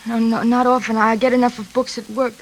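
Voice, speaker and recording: A woman's voice: on the high side, flat delivery, fast talker, natural voice, rough recording. A woman playing an anxious, dutiful daughter with an earnest, careful manner, in 1940s radio drama style. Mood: fearful questioning. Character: anxious, dutiful librarian daughter, soothing yet defiant. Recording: vintage radio recording.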